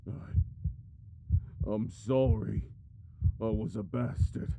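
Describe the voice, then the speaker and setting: A man acting in an ASMR audio roleplay as a devoted, anguished royal lover, pleading and soothing in a halting, tender way. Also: fairly low pitch, fairly even intonation, mellow, slight background noise. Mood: tender, resigned. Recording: muffled, echoey, lo-fi.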